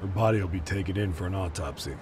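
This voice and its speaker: An actor playing a gruff, jaded, foul-mouthed middle-aged police detective in an English game dub. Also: male voice, sits low, fast, noisy background, close to an everyday voice. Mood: businesslike.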